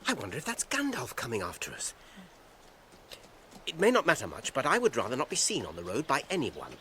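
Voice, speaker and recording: An actor with an English accent playing a wry, brisk gentleman hobbit in a radio drama, expressive in manner. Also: mid-range voice, slight background noise. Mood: wary. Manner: animated, expressive.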